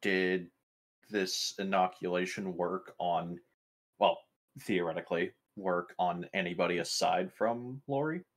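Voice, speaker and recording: A man playing a tabletop roleplaying game, asking questions in character in a halting, deliberate way. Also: deep voice. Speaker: player.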